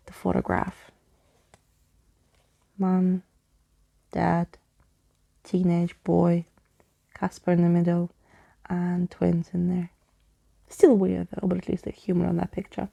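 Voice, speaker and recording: Woman doing asmr storytime, soft spoken, dark mellow tone w lots of vocal fry. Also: lowish voice.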